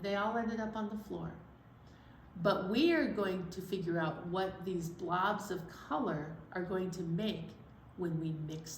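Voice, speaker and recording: A woman leads story time for children in an echoey room with faint background noise. She is playful, with lively swinging pitch, explaining simply and reciting rhymes.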